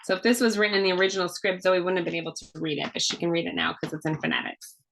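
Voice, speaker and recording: A woman's voice: on the low side, fairly even intonation, very fast, a bit bright, poor audio. A woman who is a children's author, informally presenting a storybook and explaining things encouragingly to a child.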